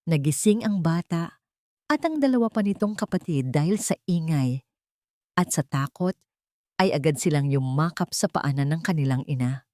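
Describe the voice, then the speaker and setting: A woman's voice: low-pitched, lively intonation, moderate pace, mellow, dry recording. A woman reading a scripted, dramatic story as an audiobook narrator.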